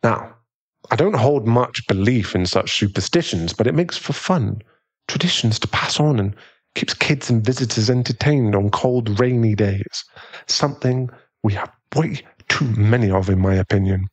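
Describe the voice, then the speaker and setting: A man playing an affectionate, aristocratic British werewolf fiancé in an ASMR boyfriend audio roleplay, speaking in a gentle, polite, unhurried way. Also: lowish voice, breathy edge. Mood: fond, explaining.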